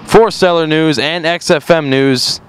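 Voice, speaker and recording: A man's voice: a little high, a little slow, slight background noise. A man reading scripted narration as the reporter of a short documentary.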